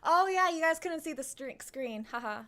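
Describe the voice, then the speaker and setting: A woman's voice: sits high, lively intonation, normal speed, a bit bright. A woman livestreaming a game, chatting casually and playfully with her viewers. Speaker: live streamer. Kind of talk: casual livestream chat over gameplay.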